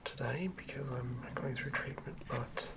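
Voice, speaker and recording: An ASMR host speaking in a soft, breathy whisper, gently and quietly sharing tabletop fantasy material. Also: mid-range voice, steady tone, a little slow, very noisy. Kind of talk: whispered ASMR, chatting and reading aloud from a game manual.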